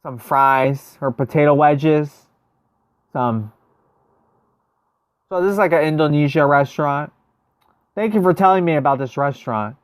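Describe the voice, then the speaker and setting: A man's voice: on the high side, measured pace, pauses often, smooth, dry recording. A man, chatting casually as a livestream host, thinking aloud and answering viewers. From United States. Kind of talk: casual livestream gameplay chat.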